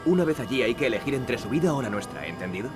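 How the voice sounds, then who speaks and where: A man's voice: medium pitch, expressive, fast, lightly acted. An actor with an accent from Spain playing a cocky, sarcastic young male adventurer in a wry, flippant way for a video game. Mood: wry, composed. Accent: Spanish from Spain. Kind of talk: dubbed adventure game dialogue. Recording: loud music behind the voice.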